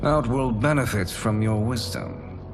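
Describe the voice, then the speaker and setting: Smug sorcerer villain acted by a man, courtly, scheming, game cutscene, loud score behind. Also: medium pitch, unhurried, natural voice. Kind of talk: dramatic video game cutscene acting. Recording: loud music behind the dialogue.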